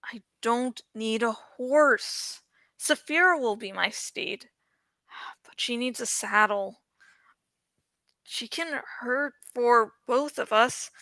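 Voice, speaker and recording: A woman reading the thoughts of a troubled, resolute young farm boy in a storybook, muttered and deliberate. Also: on the high side, pushed and bright, dry recording, exaggerated voice. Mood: planning.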